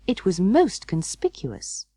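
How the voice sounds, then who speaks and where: A woman narrating a children's story as an audiobook, in a measured, expressive way. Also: mid-range voice, no echo, clean studio sound.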